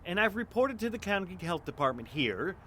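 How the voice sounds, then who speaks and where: A man's voice: sits high, big pitch swings, brisk, pushed and bright, slight background noise, heavily acted. An actor playing a timid, apologetic American neighbor man in an audio drama, hesitant and stammering. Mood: apologetic, explaining.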